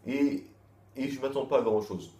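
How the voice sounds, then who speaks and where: A man's voice: mid-range voice, moderate pace, warm tone. A man giving a casual, rambling review with many restarts and crude slang. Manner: loose, repetitive, with frequent restarts and crude slang. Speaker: manga chapter reviewer. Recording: echoey room, faint background noise.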